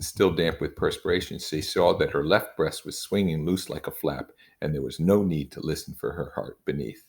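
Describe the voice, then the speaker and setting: A man reading a novel aloud in a measured, informal way, leaning on certain phrases for emphasis. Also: low voice, vocal fry. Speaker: reader.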